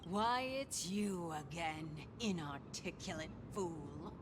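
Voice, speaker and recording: A woman's voice: lowish voice, expressive, slow, background noise. A woman playing a haughty, cruel trial announcer in a video game, theatrical and grandiose with a mocking edge. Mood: scornful. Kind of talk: video game character dialogue.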